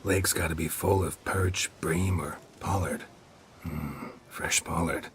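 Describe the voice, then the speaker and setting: An actor playing a seasoned monster hunter and fatherly mentor in a video game, gruff and laconic with dry understatement. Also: low-pitched, steady tone, slow pace, background noise. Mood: wry, calm. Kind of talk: cinematic video game acting.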